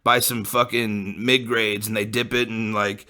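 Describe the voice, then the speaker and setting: Laid-back profane young man, bright voice. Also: on the low side, little pitch movement, measured pace. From US Midwest. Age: late 20s. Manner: laid-back, profane, full of likes and you-knows. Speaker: podcast host. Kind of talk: casual rambling monologue.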